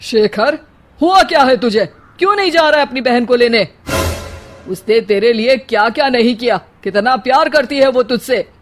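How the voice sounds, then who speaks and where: A woman's voice: fairly high pitch, lively intonation, moderate pace, faint music behind, pitched above the performer's own voice, natural voice. A woman voicing a boastful, bossy, hot-tempered middle-aged father in a cartoon story. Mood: bossy, scolding. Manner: blustering, commanding.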